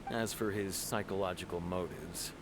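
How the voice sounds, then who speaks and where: An actor playing a stern, dutiful law officer in a video game, explaining things formally and frankly. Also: male voice, low voice, fairly even intonation, normal speed, background noise, natural voice. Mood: frustrated. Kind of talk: video game character dialogue.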